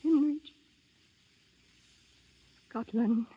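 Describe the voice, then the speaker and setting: Casting: frightened woman spy, husky and highish voice, urgent 1930s radio acting. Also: extremely slow, exaggerated voice. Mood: dying, gasping. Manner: urgent, dramatic. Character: frightened, secretive young woman spy on the run. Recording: dull lo-fi 1930s radio broadcast.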